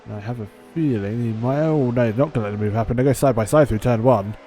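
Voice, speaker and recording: A man's voice: mid-range voice, fast, mellow, slight background noise. A man giving live sim racing commentary in a chatty, casual way.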